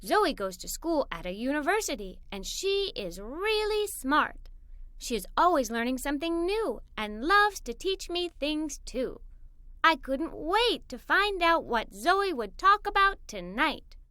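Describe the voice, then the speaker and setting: High, bright, animated woman storyteller. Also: unhurried, no echo. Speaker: story narrator. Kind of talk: scripted children's story read-aloud, first person as a young girl.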